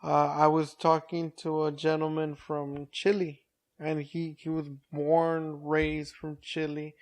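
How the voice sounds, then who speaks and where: A man on a comedy podcast telling an anecdote in loose, casual chat, stopping and restarting as he goes. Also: a little high. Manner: animated storyteller, halting restarts. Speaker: podcast co-host or guest.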